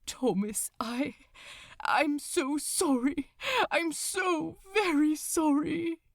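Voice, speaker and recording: A woman voicing a gentle, devoted male angel in a story reading, emotional and faltering, with old-fashioned politeness. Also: sits high, extremely slow, vocal fry, no echo, clean studio sound, voice pushed up, exaggerated voice. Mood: anguished, pleading.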